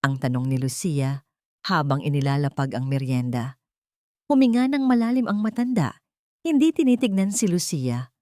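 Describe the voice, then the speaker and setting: A woman's voice: low-pitched, expressive, moderate pace, warm tone, no room sound, clean studio sound. A woman reading a scripted dramatic story as an audiobook narrator.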